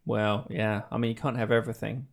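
A man's voice: lowish voice, level tone, brisk, vocal fry. A man hosting a casual review discussion, talking in a playful, emphatic, bantering way. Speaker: podcast host.

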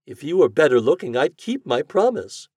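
A man's voice: on the high side, very animated, moderate pace, a little mellow, breathy edge, no echo, pitched above the performer's own voice. A man voicing a haughty, vain young society lady in an affectedly sweet, emphatic manner. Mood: disdainful.

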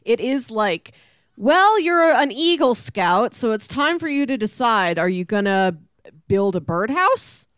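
A woman's voice: fairly high pitch, big pitch swings, unhurried, fairly bright tone, clean tone, no echo, studio quality. A woman co-hosting a casual book discussion, playfully making her points with mock quotes and comparisons. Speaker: podcast co-host discussing a graphic novel.

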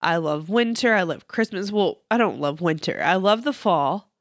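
A woman's voice: fairly low pitch, lively intonation, normal speed, no echo, clean studio sound. Chatty Texan woman podcast co-host. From Texas. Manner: chatty, giggly, enthusiastic. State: voice a bit deep from morning allergies. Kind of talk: casual chat.

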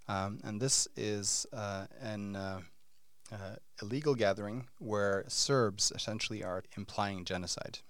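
Halting male podcast host, dry close sound. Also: fairly low pitch, a bit bright, clear, resonant tone, no room sound. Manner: halting, many fillers and restarts. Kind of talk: interview podcast, hesitant questions.

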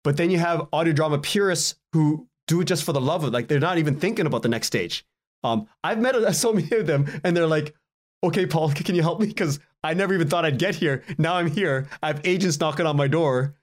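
A man speaking as a podcast guest in casual interview chat, informal and halting as he thinks aloud. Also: a little high.

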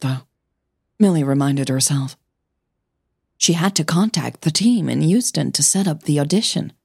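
A woman with a soft, breathy voice, a podcast host who narrates her own audio fiction, over music. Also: sits low, dry recording, clean studio sound. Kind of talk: scripted story narration with casual host outro. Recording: music bed under the voice.

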